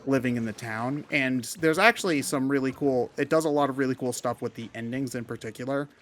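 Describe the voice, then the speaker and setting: Male game commentator, medium pitch, conversational, talks through his points in a loose and wandering way. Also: fairly quick, a bit bright, slight background noise. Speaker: commentator on a gaming show. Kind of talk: casual discussion of video games.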